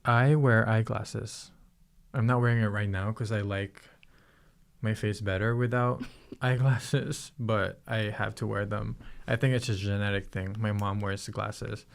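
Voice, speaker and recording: A man's voice: lowish voice, steady tone, measured pace, gravelly. A young man in his twenties from the Philippines with a light Filipino accent, chatting casually as co-host of a talk show. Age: 20s. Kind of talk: casual chat.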